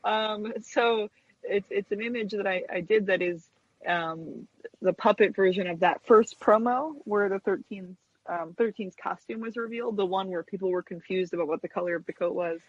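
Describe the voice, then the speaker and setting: A woman's voice: mid-range voice, moderate pace, husky, no echo. A chatty woman guest, an animator explaining her craft casually in an interview over dull web audio. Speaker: guest stop-motion animator and puppet maker.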